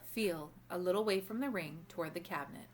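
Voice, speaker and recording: A woman voicing a kindly, wise old grandmother in a storybook, gently and formally giving instruction. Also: on the low side, a little fast, close to an everyday voice. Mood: gentle. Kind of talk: storybook read-aloud character voice.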